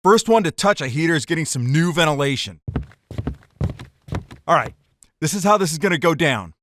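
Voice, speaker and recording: A man's voice: fairly high pitch, lively intonation, moderate pace, a bit bright, no echo, studio quality. A man playing a tough, wisecracking gangster in a 1940s noir radio drama, with slangy hard-boiled delivery. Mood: commanding. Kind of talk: noir detective radio drama acting and narration.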